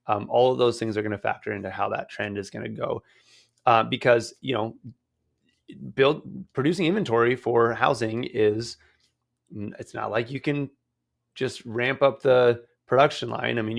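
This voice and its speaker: A man hosting a solo real estate podcast in a casual, rambling way with many fillers, his voice hoarse as it recovers. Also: mid-range voice, fairly even intonation, moderate pace. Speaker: podcast host.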